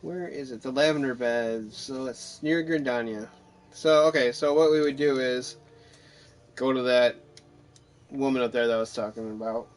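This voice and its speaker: A man's voice: mid-range voice, normal speed. A man giving casual, wry, mildly sarcastic commentary as he plays through a game. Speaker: gamer narrating own playthrough.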